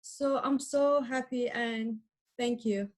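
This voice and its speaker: Halting middle-aged woman, Arabic-speaking. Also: a little high, steady tone, a little mellow. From Iran. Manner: halting, searching for words, many restarts. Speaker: adult learner guest. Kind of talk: interview answers.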